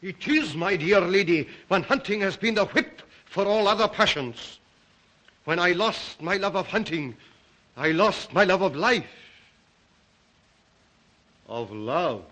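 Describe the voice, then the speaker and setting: A Russian-accented count obsessed with the hunt. The voice is high for a man and cuts brightly. He speaks with courtly, brooding deliberation in the style of old film melodrama. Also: very animated, slow, pauses often, full character voice. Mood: brooding, intense. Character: aristocratic Russian count, suave, obsessive big game hunter. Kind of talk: 1930s film-era melodramatic acting.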